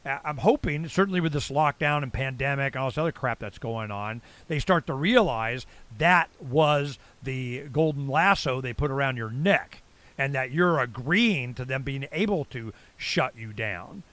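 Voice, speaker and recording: A man's voice: mid-range voice, expressive, moderate pace, fairly bright tone. An American man around sixty hosting a casual interview, rambling and blunt with salty slang. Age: late 50s to early 60s. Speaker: talk show host.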